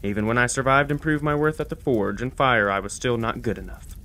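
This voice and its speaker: Male actor as old forge god, grumbling, embittered, medium pitch, radio-play delivery. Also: brisk, pushed and bright. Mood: bitter. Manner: grumbling, rambling about old grievances. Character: grumpy, bitter old blacksmith god, crippled. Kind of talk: audio drama acting.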